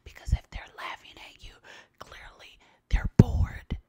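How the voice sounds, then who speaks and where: A woman's voice: a little slow, mellow. A woman from Los Angeles whispering blunt, sassy advice in an ASMR style. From Los Angeles, USA. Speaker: advice giver.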